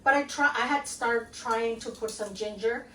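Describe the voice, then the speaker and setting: A woman's voice: on the high side, normal speed, sharp tone. Chatty woman in an echoey kitchen. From Guatemala. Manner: chatty, rambling, many fillers and "okay?" check-ins. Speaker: home cooking vlogger. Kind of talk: casual home cooking demonstration. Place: home kitchen. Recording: roomy, mic at a distance, faint room noise.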